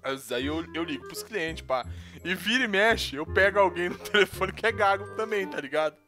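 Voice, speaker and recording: A man with a slight stutter telling an animated, slangy story in a casual chat with friends. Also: high-pitched, moderate pace, soft background music. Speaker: salesman, podcast guest.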